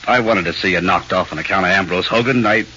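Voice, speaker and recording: Deep, forceful American cop, old radio. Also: male voice. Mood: uneasy sympathy. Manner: halting, slangy, awkward. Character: gruff, awkwardly sympathetic male cop guarding a condemned prisoner. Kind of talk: 1940s radio acting. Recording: dull noisy 1940s radio recording.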